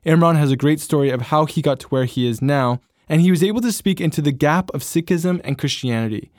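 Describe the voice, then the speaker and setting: A man's voice: a little high, fairly quick, darkish tone, dry recording, clean studio sound. A man hosting a faith podcast, introducing his guest in an upbeat and friendly way. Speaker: podcast host.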